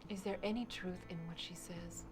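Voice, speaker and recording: An actress playing a probing, skeptical female investigator, serious and measured, in a live-action video game. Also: fairly low pitch, steady tone, noisy background, natural voice.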